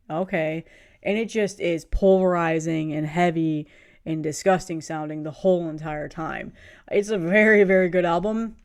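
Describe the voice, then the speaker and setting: Sniffly, excited woman chatting casually. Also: fairly low pitch. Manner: enthusiastic, rambling, laughs often. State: has a cold, sniffly. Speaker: music reviewer. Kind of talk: casual video review chat.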